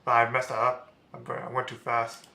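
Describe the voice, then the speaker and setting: A male streamer giving casual, rambling, halting commentary while playing a game. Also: mid-range voice, completely flat delivery, gravelly, very noisy. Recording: echoey room with game music.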